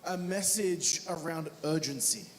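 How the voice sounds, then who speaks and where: An Australian man preaching a sermon in short phrases, pausing between each one for his interpreter. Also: fairly high pitch, slight background noise. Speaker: evangelist preacher. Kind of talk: sermon delivered through an interpreter. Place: church hall. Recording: reverberant hall with faint music.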